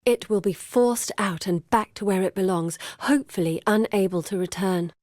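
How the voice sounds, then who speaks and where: A woman's voice: medium pitch, normal speed, a little mellow, no echo, clean studio sound, close to an everyday voice. An actress playing an earnest, determined woman scholar in a video game, speaking in a solemn, articulate and urgent dramatic manner. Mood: measured explaining. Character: earnest, determined woman scholar grieving her lost father. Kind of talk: dramatic scripted game dialogue.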